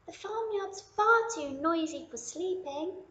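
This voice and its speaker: A woman voicing a sleepless, curious little girl bunny in a high, soft, wondering voice. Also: lively intonation, slow pace, heavily acted. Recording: roomy echo.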